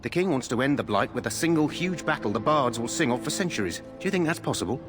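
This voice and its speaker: An actor playing a loyal but gossipy royal guard in a video game, formal yet confiding. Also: male voice, medium pitch, lively intonation, quick pace, over music, natural voice. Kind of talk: video game character dialogue.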